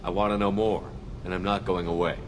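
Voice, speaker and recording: Guy doing calm direct army commander for a game, low voice, echoey with noise behind. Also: brisk, natural voice. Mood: probing. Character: steady, probing male human military commander. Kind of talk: video game character acting.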